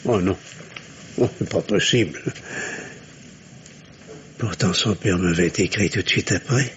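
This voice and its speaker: A man's voice: low voice, a little slow. An actor playing a nervous, sarcastic middle-aged family man in a French radio drama, hesitant and swinging between obsequious and indignant. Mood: anxious musing. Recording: dull, noisy old radio recording.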